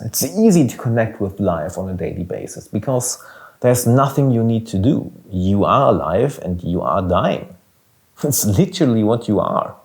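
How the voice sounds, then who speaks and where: Earnest German-accented man, lowish voice. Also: a little mellow. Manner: earnest, emphatic, swearing now and then. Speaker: podcast host and business coach. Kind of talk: casual motivational monologue.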